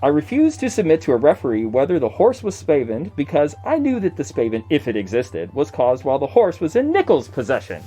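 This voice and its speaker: A man's voice: a little high, huge pitch swings, brisk, hardly any pauses, warm tone, husky, faint music behind, heavily acted. A man playing an indignant nineteenth-century horse seller, declaiming old newspaper notices in a theatrical, scornful manner. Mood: indignant, defiant.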